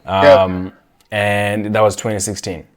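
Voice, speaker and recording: A man's voice: lowish voice, little pitch movement, slow pace, crowd noise. A young man born in Rwanda, hosting a podcast and chatting casually, often restarting his sentences. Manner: chatty, self-correcting, frequent restarts. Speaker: podcast host. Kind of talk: casual conversation.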